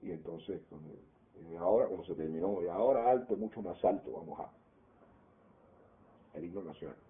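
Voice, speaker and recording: An older man with a Cuban Spanish accent, recalling his school days in an oral history interview, animated and trailing off into quieter asides. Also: low-pitched, a little fast. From Cuba. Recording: tinny phone-like audio.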